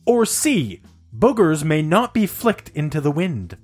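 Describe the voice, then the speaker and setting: Lively high-voiced joking man. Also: unhurried, husky, faint music behind. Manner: laughs often, animated and playful. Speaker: quiz host. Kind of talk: casual podcast banter.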